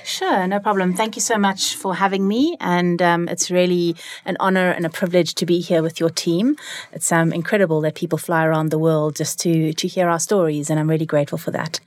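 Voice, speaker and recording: A woman with a South African accent speaks as an interview guest in a conversational storytelling style. Her pitch is on the low side and her manner is reflective and articulate. The studio recording is very dry. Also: steady tone, fairly quick, dry recording. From Cape Town, South Africa. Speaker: interview guest, leadership consultant.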